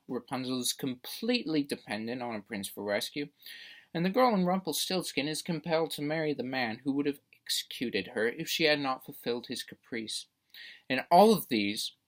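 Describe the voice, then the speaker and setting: Formal, emphatic debate speaker, medium pitch. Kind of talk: prepared persuasive speech.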